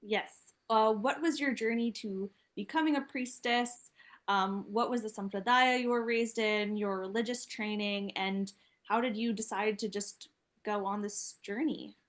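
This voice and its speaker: A woman's voice: medium pitch, steady tone, measured pace. A woman acting as panel moderator, posing questions to the speakers in a discussion.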